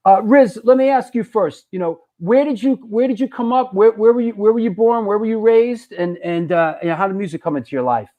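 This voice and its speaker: A high-pitched man hosts a live online show. He talks loosely and jokes around, stumbling over and repeating his words. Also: a little mellow. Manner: loose, joking, repeats himself. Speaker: host.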